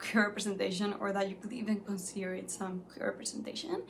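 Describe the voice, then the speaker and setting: A young woman whose first language is Spanish, chatting casually about books she has read, animated and full of "like"s. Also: medium pitch, creaky, slight background noise. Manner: chatty and animated, many "like"s, light laughs. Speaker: book reviewer. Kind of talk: casual chat to camera.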